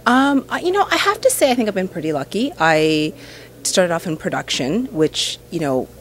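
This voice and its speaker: A woman in her thirties, a TV host from Nova Scotia, answering interview questions in a relaxed, chatty way full of "you know" and "um". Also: fairly low pitch, normal speed. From Canada, Nova Scotia.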